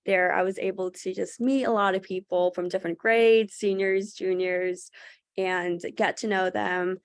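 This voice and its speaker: This is a young woman from Thailand speaking as a student panelist over a video call, in a middle register. She talks earnestly and often pauses on fillers and restarts her sentences. The sound is close and dry. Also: medium pitch, fairly even intonation, smooth, dry recording. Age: young adult. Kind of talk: webinar panel talk.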